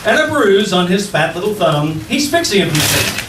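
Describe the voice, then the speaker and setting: A man's voice: sits high, very animated, normal speed. A man playing a genial 1940s-style radio announcer, reading a scripted programme. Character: smooth genial old-time radio announcer. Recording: echoey distant stage pickup, noisy.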